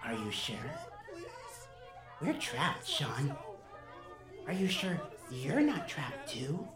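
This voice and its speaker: Woman playing urgent persuasive captive kid, super animated pitch, echoey room, audio drama. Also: takes it slowly, over music, a crowd in the background, full character voice. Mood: grave. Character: intense, persuasive runaway child captive. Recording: roomy, echoing student audio drama.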